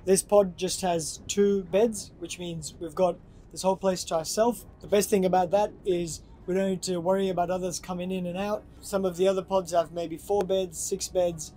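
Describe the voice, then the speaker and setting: A man's voice: high-pitched, steady tone, moderate pace, dark tone, a little hiss or hum. A man talking to camera in an upbeat, chatty travel vlog from inside a train cabin. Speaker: travel vlogger. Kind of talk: casual vlog talk to camera. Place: first-class train cabin, indoors.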